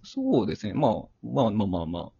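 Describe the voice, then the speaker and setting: A man's voice: on the low side, wildly swooping pitch, fast talker, gravelly, dark tone, husky. A middle-aged man with a Kansai accent chatting casually, with a joking, self-deprecating way of talking. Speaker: amateur actor and scriptwriter. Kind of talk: casual chat. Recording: muffled lo-fi audio.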